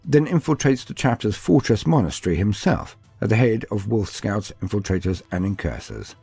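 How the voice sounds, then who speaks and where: A man's voice: fairly low pitch, very animated, moderate pace, vocal fry, dark tone, husky, soft background music. A man reading a scripted, dramatic narration of fantasy war lore as a storyteller.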